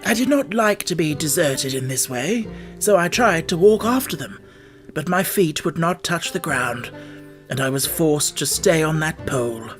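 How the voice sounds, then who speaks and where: A man playing an earnest, high-voiced storybook scarecrow. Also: expressive, normal speed, soft background music, lightly acted. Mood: forlorn. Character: earnest, simple-hearted straw scarecrow, newly made.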